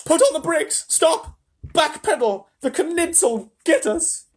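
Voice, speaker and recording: A man's voice: very high-pitched, lively intonation, slow, voice pushed up, full character voice. A man voicing a panicky old grandmother who screams in terror at every danger. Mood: terrified shrieking. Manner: screeching, hysterical.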